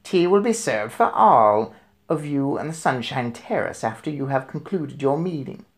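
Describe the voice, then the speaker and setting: A man's voice: medium pitch, lively intonation, normal speed, lightly acted. A man voicing a pompous, fawning hotel manager in a storybook, speaking formally. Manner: formal, obsequious. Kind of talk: storybook character voice.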